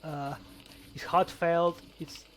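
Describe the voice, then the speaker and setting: A man's voice: fairly high pitch, little pitch movement, takes it slowly. A man chatting casually as a podcast host, rambling and stammering with repeated words. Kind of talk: casual chat over gameplay. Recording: clearly noisy background.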